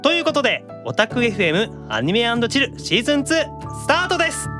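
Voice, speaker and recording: A man hosting a radio show with lively, playfully formal banter, quick to chime in. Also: very high voice, over music.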